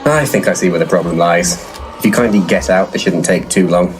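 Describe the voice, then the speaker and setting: Bloke doing a shabby pompous ghost hunter, really deep voice, grumbly and irritable, comedy audio play. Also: male voice, fairly quick, faint music behind, natural voice. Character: pompous, irritable, shabby male paranormal investigator, a charlatan. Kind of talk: comic audio drama acting.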